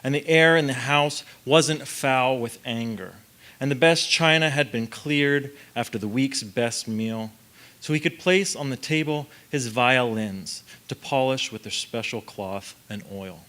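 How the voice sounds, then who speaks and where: Man reading aloud, medium pitch. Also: a little slow, sharp tone. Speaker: guest reader at a literary event. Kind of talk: poetry reading on stage. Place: theatre stage, indoors. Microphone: mic on a stand.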